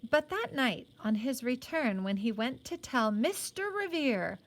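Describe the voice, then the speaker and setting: A woman's voice: high voice, sing-song, measured pace. A woman teacher reading a story aloud expressively, adding informal, playful explanatory asides.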